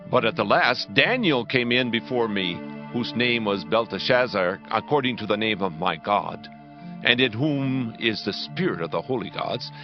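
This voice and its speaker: A man's voice: on the low side, expressive, moderate pace, close to an everyday voice. An actor playing a proud, awestruck king of ancient Babylon, declaiming solemnly in a dramatized scripture reading. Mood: solemn, reverent. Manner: grand, declamatory, solemn proclaiming. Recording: dull band-limited audio under loud music. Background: loud dramatic music.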